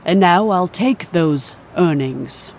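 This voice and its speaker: An imperious goblin queen voiced by a man in an audio drama, high-pitched and unhurried. She is haughty and formal, issuing commands with regal officiousness over faint noise. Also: animated, gravelly, a little mellow, full character voice. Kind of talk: scripted audio drama acting.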